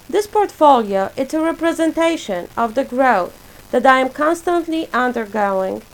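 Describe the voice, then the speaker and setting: A woman in her forties from Poland, a native Polish speaker, reading a prepared self-introduction for her portfolio in a measured, list-like way. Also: fairly high pitch, vocal fry, low-quality recording. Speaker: graduate student presenting a portfolio.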